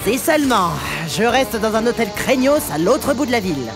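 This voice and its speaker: A woman's voice: on the high side, very animated, moderate pace, music in the background. A woman voicing a flirty, cocky, sleazy male demon in a cartoon fandub. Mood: cocky, amused. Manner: sassy, teasing.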